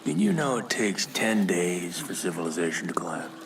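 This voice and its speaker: A man with an American accent playing a menacing older army veteran turned cult commander in a video game, telling stories deliberately and breathily. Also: low-pitched, sing-song, noisy background. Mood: calm menace. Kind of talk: video game villain monologue. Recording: loud music behind the voice. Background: loud music.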